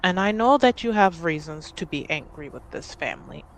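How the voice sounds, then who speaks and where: A woman playing a grieving grandchild who pleads earnestly and haltingly in a tabletop roleplaying game. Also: deep voice, expressive, poor audio. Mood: earnest pleading. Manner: slow, halting, earnest delivery. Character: Ade, sincere, grieving grandchild pleading with an estranged relative.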